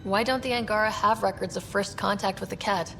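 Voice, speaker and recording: A woman's voice: mid-range voice, steady tone, brisk, a bit bright, slight background noise, close to an everyday voice. An actress playing a helpful, direct young female space explorer in a video game. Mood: helpful, curious.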